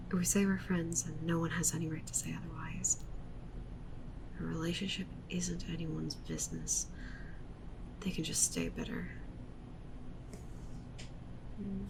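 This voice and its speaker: A woman playing a clingy, possessive yandere witch streamer in an ASMR roleplay, pleading intimately with the listener and often slipping into breathy whispers. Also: fairly low pitch, background noise.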